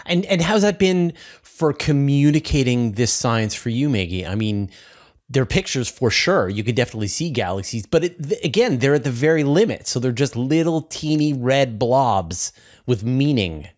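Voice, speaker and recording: A man's voice: mid-range voice, animated, moderate pace, darkish tone. A man hosting an interview in a casual, enthusiastic conversational way. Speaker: interview host.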